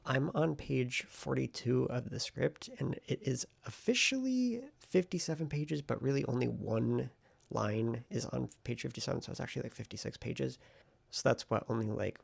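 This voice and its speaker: Male vlogger, heavy vocal fry and some rasp, informal and rambling, thinking aloud. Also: mid-range voice. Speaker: vlogger and hobby novelist. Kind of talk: casual writing vlog chat.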